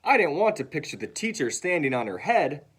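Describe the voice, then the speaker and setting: Animated man reading a children's story. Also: a little high, brisk, slightly rough. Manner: expressive, acts out sounds and dialogue.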